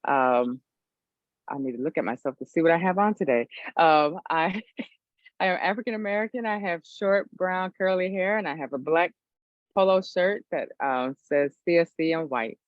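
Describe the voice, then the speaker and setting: A woman's voice: fairly low pitch, moderate pace, no room sound, dull audio. A woman introduces herself on a video call in a hesitant, informal way, using lots of ums. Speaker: family support specialist. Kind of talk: webinar self-introduction.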